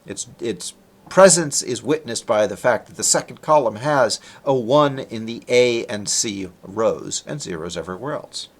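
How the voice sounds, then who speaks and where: Guy doing a technical research talk, explains stuff conversationally, really animated up and down pitch. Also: moderate pace. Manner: explanatory, conversational, with rhetorical questions. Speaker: researcher presenting. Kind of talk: technical lecture with explanatory narration of slides.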